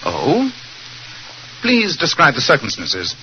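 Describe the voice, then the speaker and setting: An actor playing a composed, dryly witty gentleman detective in 1940s radio drama style, speaking formally and precisely. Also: on the high side, wildly swooping pitch, takes it slowly, exaggerated voice. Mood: keen, assured. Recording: dull, noisy vintage radio recording.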